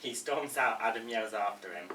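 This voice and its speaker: A man's voice: lowish voice, moderate pace, pushed and bright. A man reading out stage directions as informal narration at a table read. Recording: roomy, distant mic with background noise.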